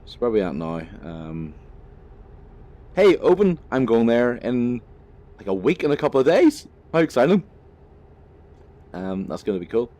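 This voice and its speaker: A man's voice: mid-range voice, big pitch swings, normal speed, long pauses, dark tone. A young Northern Irish man chatting casually over his gameplay, rambling, swearing freely and laughing at himself. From Northern Ireland. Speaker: gaming channel host.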